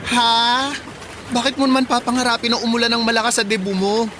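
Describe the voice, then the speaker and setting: A woman's voice: fairly high pitch, little pitch movement, a little fast, background noise, lightly acted. A woman playing a teasing, sarcastic teenage best friend in a Filipino radio drama, bantering bluntly and exclaiming. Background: music.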